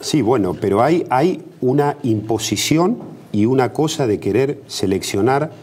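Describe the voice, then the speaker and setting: Emphatic Argentine man, lively husky voice. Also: mid-range voice, a little slow. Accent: Argentine Spanish. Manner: emphatic, rhetorical questions, frequent restarts and fillers. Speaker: politician guest. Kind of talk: TV interview.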